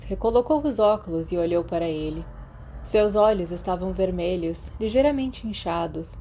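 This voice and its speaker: A woman's voice: fairly low pitch, dark tone, slight background noise. A woman with a Brazilian accent reading scripted fiction as an audiobook narrator.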